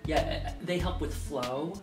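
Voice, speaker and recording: A man's voice: a little high, takes it slowly. Middle-aged man explaining in an echoey room. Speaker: author of a local history book, interviewee. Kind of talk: casual interview talk. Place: indoor rooms, office and home. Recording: roomy echo, music underneath. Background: instrumental music.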